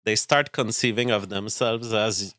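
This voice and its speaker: A man hosting a casual livestream whose voice is weak and tired tonight, talking haltingly with many restarts as he answers viewer chat. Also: fairly low pitch, steady tone, pushed and bright, no echo, studio quality.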